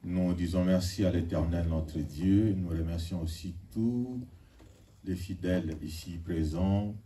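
Man, low dark voice, formal church announcements, picked up from afar with faint hiss. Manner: measured, formal, leads call-and-response. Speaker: church officiant giving announcements. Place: church hall during a service. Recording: distant mic with faint noise.

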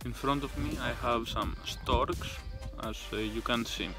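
A young man from Greece with a Greek accent, speaking casually and haltingly to a handheld phone camera outdoors. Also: mid-range voice, music in the background. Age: young adult. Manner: plain, halting narration with fillers. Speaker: vlogger. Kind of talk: casual travel vlog to camera. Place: outdoors in a village. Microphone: phone held at arm's length.

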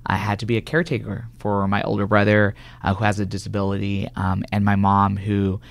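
A man's voice: on the low side, completely flat delivery, fairly quick, creaky, no echo. A man from California answering conversationally as an interview guest, a government health official, in a radio studio. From California, United States.

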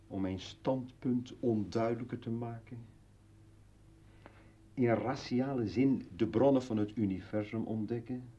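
An actor playing a brooding, philosophical old man with bitter irony in a declamatory, halting radio play monologue. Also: mid-range voice, dark tone, lightly acted. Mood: musing, ironic. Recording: dull vintage radio drama recording.

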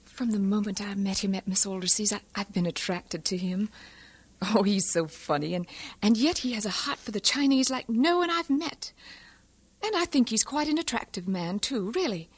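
A woman's voice: medium pitch, animated, normal speed, slightly rough. An actress playing an earnest, shy young missionary woman in an audio drama, hesitant and confiding.

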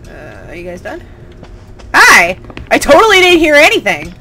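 A woman's voice: high voice, wildly swooping pitch, normal speed, sharp tone. A woman giving casual, playful and sarcastic commentary on a game, often exclaiming at it. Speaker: gaming host. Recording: faint game noise behind.

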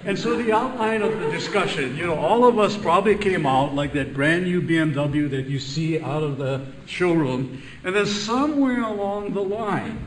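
An older man from Hawaii with a loud voice, giving a chatty, joking conference lecture as a physician. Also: sits high, animated, moderate pace, slight background noise. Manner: chatty, self-deprecating, laughs at own jokes. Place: large conference room. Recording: echoey room, voice from a distance.

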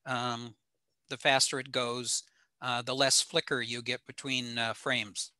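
An older American man giving an informal slide lecture in an explanatory, halting way with frequent pauses. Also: medium pitch, flat delivery, pushed and bright, no echo. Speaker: presenter, ham radio operator. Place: small home room indoors.

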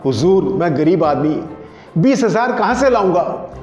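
A man acts out a poor, frightened barber from a short story. He pleads in a high, whining voice, slowly and meekly. The recording sounds dull and roomy. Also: lively intonation, soft background music. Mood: tearful. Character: poor, pleading, timid male village barber.

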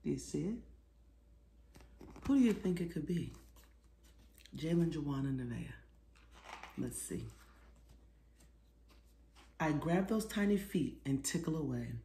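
A middle-aged woman reading a children's storybook aloud expressively, pausing to put questions to her young listeners. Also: low voice, animated, mellow, a little hiss or hum. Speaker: children's author reading her own book. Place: small living room, seated on a sofa. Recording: roomy indoor echo.

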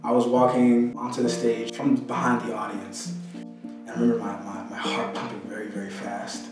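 A young man, a graduating student, telling a memory in a halting way, repeating words. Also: medium pitch, little pitch movement, heavy reverb, distant mic, music in the background. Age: young adult. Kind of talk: storytelling in an interview.

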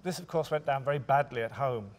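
A man in his forties, a writer speaking thoughtfully and in halting, measured phrases on a discussion panel. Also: medium pitch. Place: theatre stage, indoors.

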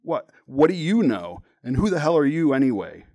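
A man's voice: fairly low pitch, lively intonation, normal speed, breathy edge, no room sound. A nervous teenage boy played by a grown man trying to save face. He sputters and stammers with shaky defiance. The sound is dry, in the style of a story reading. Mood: defiant, nervous.

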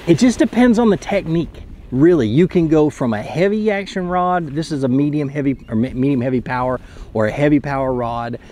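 A man's voice: fairly high pitch, animated, fairly quick, dark tone. A man in his thirties explaining a fishing technique to camera from a kayak on a lake, in a chatty, explanatory way with frequent restarts. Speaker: fishing channel host. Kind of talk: casual outdoor tutorial to camera. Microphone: camera mounted close in front.

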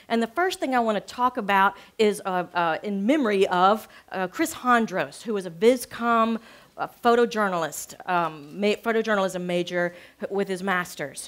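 A middle-aged American woman, a professor, giving a conversational slide talk and explaining things with emphasis on key words. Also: mid-range voice. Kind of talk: informal lecture with slides. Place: medium-sized cafe room with an audience, indoors.

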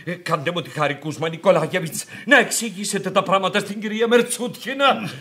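A man's voice: high-pitched, bright, forceful tone. An actor playing a fussy, exasperated bank director in a farcical radio play, sighing and repeating his words. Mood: flustered, weary. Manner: stammering repetitions, sighing, fussy. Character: flustered, exasperated, self-important male bank director. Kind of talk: farcical radio theatre acting.

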